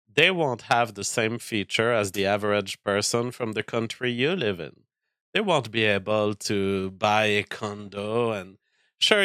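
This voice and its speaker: A man hosting a casual livestream whose voice is weak and tired tonight, talking haltingly with many restarts as he answers viewer chat. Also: medium pitch, sharp tone, dry recording, clean studio sound.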